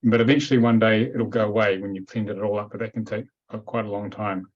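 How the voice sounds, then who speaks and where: A man's voice: fairly low pitch, steady tone, quick pace. A man hosting a webinar Q&A, answering questions in a direct, matter-of-fact way over a video call. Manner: direct, matter-of-fact, repeats points firmly. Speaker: webinar host and teacher. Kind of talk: live webinar Q&A. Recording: dull lo-fi call audio.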